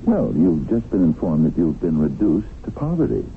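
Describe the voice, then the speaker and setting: Vintage radio drama: measured, inquisitive gentleman, very deep and creaky, muffled sound. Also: very animated, a little hiss or hum. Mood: probing, surprised. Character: measured man probing a newly impoverished acquaintance.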